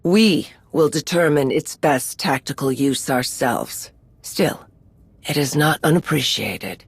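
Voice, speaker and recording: A woman's voice: deep voice, lively intonation, slow, breathy edge, no room sound, full character voice. A woman playing a cool, formidable warrior in a video game, formal and imperious in manner.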